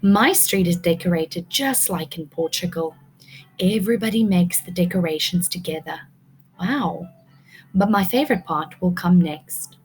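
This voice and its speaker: A woman's voice: lowish voice, rough recording. A woman teacher reading a picture book aloud to young children, expressively, prompting them with questions.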